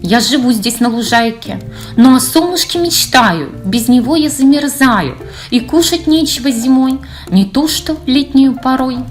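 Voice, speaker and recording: A young storybook hare, a bit of a show-off and a bit of a coward, acted by a woman. He talks in rhyme with a high, swooping voice over music. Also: animated, unhurried. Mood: wary, complaining. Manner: wary rhymed speech. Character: boastful, slightly cowardly young male hare. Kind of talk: children's verse storybook.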